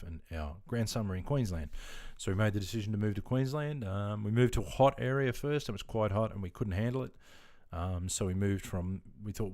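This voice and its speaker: A man's voice: on the low side, a little mellow, no room sound, clean studio sound. A middle-aged Australian man chatting casually on a live stream, easygoing and full of ums, answering viewers and calling them mate. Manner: easygoing and chatty, filler-heavy, keeps calling people mate.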